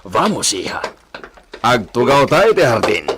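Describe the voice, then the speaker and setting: A man's voice: medium pitch, big pitch swings, unhurried, background noise. A man playing a wealthy, doting father and villa master in a radio comedy drama, theatrical and fond of Spanish exclamations. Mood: jovial, affectionate.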